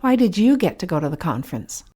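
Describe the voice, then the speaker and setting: Measured woman interviewer. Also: fairly low pitch, very animated, dark tone, breathy edge. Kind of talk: scripted interview questions for English learners.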